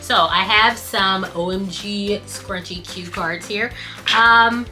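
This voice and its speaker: Female vlogger, casual host, bright tone, voice fading, soft music underneath. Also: mid-range voice, slow. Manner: chatty, reads tips off cue cards while sewing. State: losing her voice. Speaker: scrunchie business owner and channel host. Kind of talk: casual tutorial vlog.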